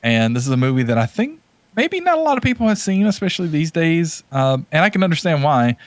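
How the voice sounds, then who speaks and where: A man's voice: fairly high pitch, very animated, quick pace, dry recording. A man co-hosting a podcast, chatting casually about films in a hesitant, rambling way and often restating himself. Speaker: podcast co-host. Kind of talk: casual chat about movies and games.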